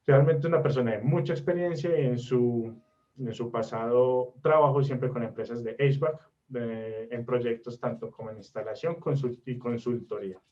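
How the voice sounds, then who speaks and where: A man's voice: medium pitch, normal speed, darkish tone, far from the mic, muffled recording. A man hosting a webinar, politely introducing the guest speaker's career. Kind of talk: formal introduction.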